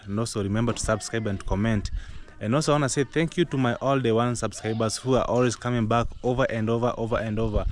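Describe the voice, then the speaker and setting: Upbeat Kenyan man vlogging outdoors. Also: medium pitch. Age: young adult. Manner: chatty, upbeat, repeats phrases. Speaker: vlog host. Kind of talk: casual outdoor vlog chat. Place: village compound outdoors. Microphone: action camera, no mic. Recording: noisy outdoor camera audio.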